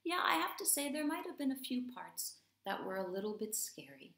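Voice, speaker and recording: A woman in her thirties, an English teacher reading aloud to young children, dramatic and deliberate, with hushed stretches. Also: on the high side, big pitch swings. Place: small room at home, indoors. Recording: roomy indoor echo.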